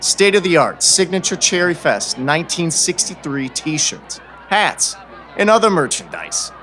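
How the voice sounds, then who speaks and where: A man as bright, sharp-voiced self-promoting shopkeeper. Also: sing-song, measured pace, some chatter behind, full character voice. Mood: smug, salesy. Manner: showy sales pitch. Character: self-promoting small-town shopkeeper, eager salesman. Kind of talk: audio drama acting.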